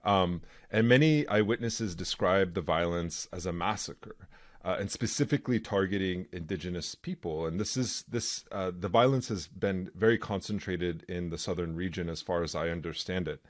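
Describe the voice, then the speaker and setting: A man's voice: low-pitched, moderate pace, no echo, studio quality. A man hosting a podcast interview, earnest and conversational. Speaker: podcast host.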